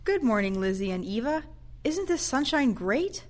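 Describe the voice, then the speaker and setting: A woman's voice: on the high side, very animated, moderate pace. A woman playing a cheerful, attentive care aide in an audio play, chatty and encouraging.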